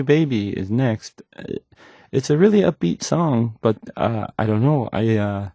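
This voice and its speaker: An awkward young male radio dj, lowish voice, keeps doubting himself and trailing off, dry booth sound. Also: a little mellow, dry recording. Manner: hesitant, trailing off, self-doubting. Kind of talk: radio song introductions with awkward asides.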